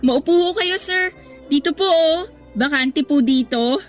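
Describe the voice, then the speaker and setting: A high-voiced, cheerful Filipina employee. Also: female voice, measured pace, soft background music. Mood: cheerful, polite. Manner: polite, eager, expressive. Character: cheerful, respectful young female store employee. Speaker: actress in a radio drama. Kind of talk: Tagalog radio drama acting, conversational.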